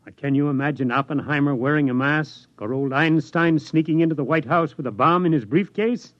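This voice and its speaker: A man's voice: a little high, a little fast, dry recording, natural voice. An actor in a 1950s radio drama playing a weary older male scientist who speaks reflectively and ruefully. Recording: dull old radio recording.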